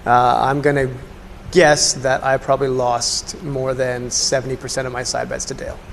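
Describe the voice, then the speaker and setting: Casual Canadian male, colleague telling stories, flat delivery, echoing room with faint noise. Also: medium pitch, normal speed, gravelly. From Canada, Alberta. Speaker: colleague giving a tribute. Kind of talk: casual reminiscence.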